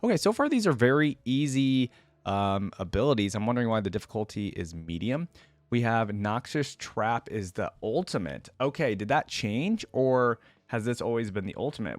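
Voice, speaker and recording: A man's voice: medium pitch, big pitch swings, moderate pace, no echo. Dry, close-sounding man reacting casually. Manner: conversational, thinking aloud while reading. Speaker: reaction video host. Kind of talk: casual reaction commentary, reading aloud.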